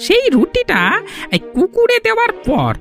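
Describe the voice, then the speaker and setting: Gossipy villager in a cartoon, super animated high voice, mocking, music under. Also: female voice, moderate pace, creaky, exaggerated voice. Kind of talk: cartoon fairy-tale dialogue. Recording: music behind the voice.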